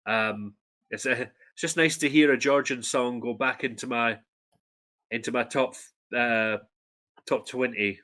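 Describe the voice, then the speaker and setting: A young man with a Scottish accent talks casually about music with a co-host. His voice is bright and forward, and his delivery is hesitant, full of ums and restarts. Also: medium pitch, steady tone, unhurried. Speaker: co-host, Eurovision fact presenter. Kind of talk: casual chat.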